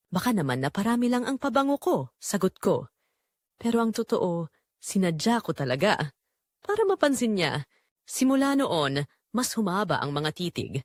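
A woman acts out a middle-aged mother-in-law, telling her own story of secret longing in an audio drama. Her delivery is confessional and measured, with a mid-pitched, very varied melody. The sound is dry and intimate, free of room echo. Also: big pitch swings. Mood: confessional, yearning. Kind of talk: first-person dramatic storytelling.

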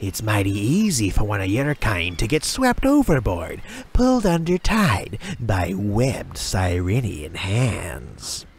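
A man voicing a menacing pirate crewman with a slow, sneering delivery. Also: lowish voice, very animated, gravelly, slight background noise, heavily acted. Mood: sneering threat.

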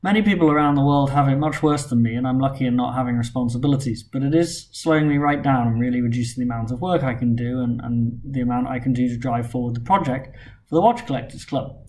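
A man's voice: mid-range voice, darkish tone. A man in his thirties recording a weekly vlog at home, talking earnestly and steadily while worn out from a long illness. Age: 30s. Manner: measured, earnest, plain-spoken with frequent "uh" fillers. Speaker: vlogger and company founder. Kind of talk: weekly vlog update to camera. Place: home room with bookshelves, indoors.

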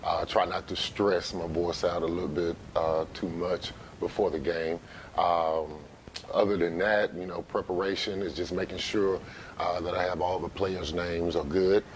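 An American man with a low-pitched voice talks casually as an interview guest. His phrasing is halting and conversational, and there is faint noise in the room. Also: fairly even intonation, poor audio. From Memphis, United States. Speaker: interview guest, sports arena announcer. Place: living room, seated on couch.

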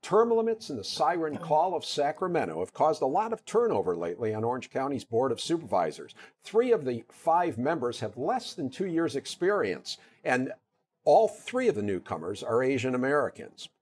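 A middle-aged man hosts a public affairs interview in a TV studio, his pitch swinging widely as he talks. He stumbles over fillers when he asks his questions, and the lapel mic keeps his voice close. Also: big pitch swings, normal speed, a little mellow. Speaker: host.

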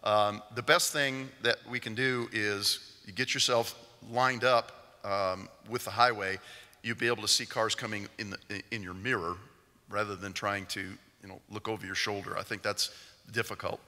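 An older man speaks into a stand mic at a lectern in a large church sanctuary. His voice is fairly low and very bright, and it trails into a long hall reverb. He answers questions plainly and patiently, with many "uh"s. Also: fairly even intonation, moderate pace. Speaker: meeting presenter answering resident questions. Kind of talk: community meeting Q&A.

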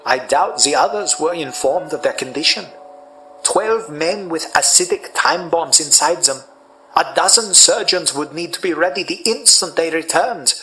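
A cool, learned doctor in a radio drama. He speaks in a precise, clinical manner with a bright, forceful tone and a slightly high pitch. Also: animated, moderate pace, a little hiss or hum, rough recording.

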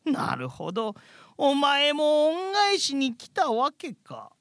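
High-pitched giant spider monster. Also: male voice, huge pitch swings, slow, fairly bright tone, no echo, studio quality, exaggerated voice. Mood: knowing, chatty. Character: human-sized, many-eyed spider, chatty grateful monster. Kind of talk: broadcast radio comedy drama.

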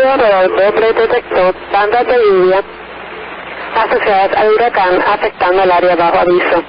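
A man formally reading a scripted emergency weather bulletin as a radio announcer. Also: sits high. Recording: muffled, noisy weather radio broadcast.

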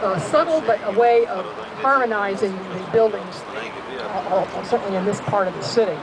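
A woman giving a guided tour commentary over a boat's speaker, explaining at length with frequent "uh" pauses. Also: mid-range voice, over a noisy crowd, poor audio. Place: open-air tour boat on a city river. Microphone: public address speaker, recorded from the audience. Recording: loud boat and outdoor noise. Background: boat engine and river ambience.

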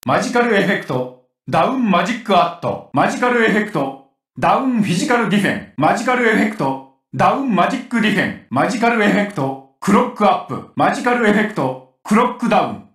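Gruff, brash male game warrior, animated delivery, distant roomy recording. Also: sits high, fast talker, full character voice. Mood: focused, commanding. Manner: forceful, rough-spoken, cocky. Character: gruff, brash grown male warrior who loves cooking. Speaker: game voice actor. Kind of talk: Japanese anime video game battle voice clips.

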